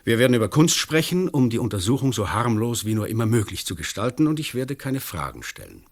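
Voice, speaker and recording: An actor playing an uneasy, formal, middle-aged examining magistrate in a German radio play, with measured, officious phrasing. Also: male voice, medium pitch, very animated. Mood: formal, placating.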